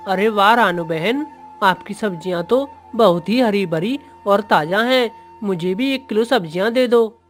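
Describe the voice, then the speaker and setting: A woman's voice: mid-range voice, a little slow, soft background music, lightly acted. A woman voicing friendly bird characters in a moral-story cartoon. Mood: cheerful.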